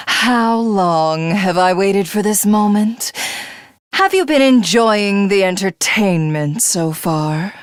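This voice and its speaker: A woman voicing an arrogant, sadistic alien warrior craving godhood in a video game, with theatrical, drawn-out taunts and a breathy, husky edge. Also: medium pitch, expressive, dry recording, clean studio sound. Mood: gloating, taunting. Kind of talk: dramatic video game villain acting.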